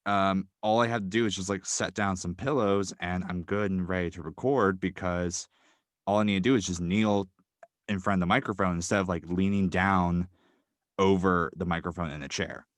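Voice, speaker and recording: Low-voiced man rambling from a closet. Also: fairly even intonation, normal speed, dry recording. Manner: rambling, lots of ums and likes. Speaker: podcast guest. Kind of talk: casual chat. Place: small cramped closet. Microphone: microphone wrapped in a blanket. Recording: dry, deadened closet sound.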